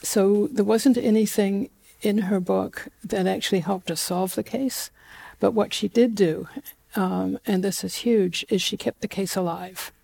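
A woman's voice: medium pitch, a little slow, creaky, husky, rough recording. A middle-aged woman from New Zealand with a Kiwi accent, a guest talking casually in an interview, with loose fillers and restarts. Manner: relaxed, frequent fillers and restarts, light laughs. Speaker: guest, genetic genealogist.

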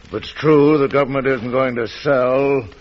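A man's voice: medium pitch, fairly even intonation, moderate pace, close to an everyday voice. Blunt businessman played by a man, muffled radio. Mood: greedy, decisive. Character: brusque, greedy businessman, crude and decisive. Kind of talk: old-time radio drama acting. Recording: dull noisy radio, faint crowd behind.

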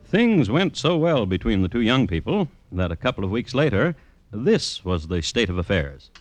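A man narrates a radio drama with wry timing. His voice sits low but moves through a wide range, which keeps the telling animated. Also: normal speed, faint music behind. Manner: wry storyteller. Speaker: narrator and host. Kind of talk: scripted narration.

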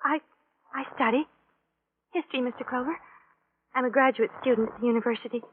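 A woman playing a fragile, evasive young socialite in old radio drama, speaking haltingly and repeating herself. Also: high-pitched, lightly acted. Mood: shaken, evasive. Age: young adult. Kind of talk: 1940s-50s radio drama acting. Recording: tinny, noisy old radio broadcast.

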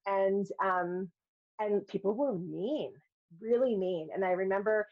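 A middle-aged woman speaks as a podcast guest, telling personal stories in a candid, conversational way. Her voice sits at a medium pitch and she voices quoted remarks as she talks. The recording is somewhat dull and lo-fi. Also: unhurried. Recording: dull, lo-fi sound.

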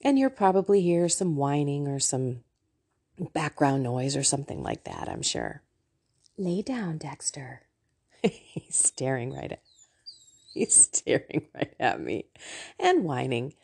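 A woman's voice: rumbling low, wildly swooping pitch, slow pace, vocal fry, pauses often, darkish tone, slightly rough. A woman with a scratchy voice from allergies, hosting a devotional podcast from her room, chatting earnestly before reading scripture. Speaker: podcast host.

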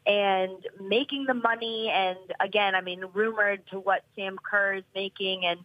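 A woman's voice: mid-range voice, fairly even intonation, normal speed, dry recording, dull audio. A woman in her thirties talking earnestly as a podcast guest, rambling with many restarts. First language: English. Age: 30s. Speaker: professional soccer player, guest. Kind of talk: casual podcast interview.

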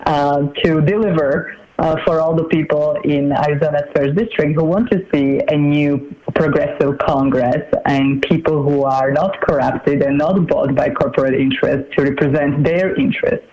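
A woman in her forties from Slovakia, a political candidate giving an interview in a measured, halting way with many fillers. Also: deep voice, steady tone, dark tone, dull audio. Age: 40s. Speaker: congressional candidate.

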